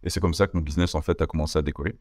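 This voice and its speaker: A young man from France talking as an interview guest, casual and halting, with many fillers and restarts. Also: extremely low pitch, fairly even intonation, darkish tone, dry recording, studio quality.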